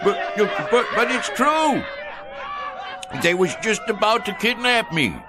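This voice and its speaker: A man's voice: high voice, expressive, takes it slowly, noisy background. An actor playing a folksy rural American tall-tale teller in his sixties, drawling and rambling in a radio drama. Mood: insistent. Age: 60s. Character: folksy sixty-three-year-old tall-tale-telling rural man.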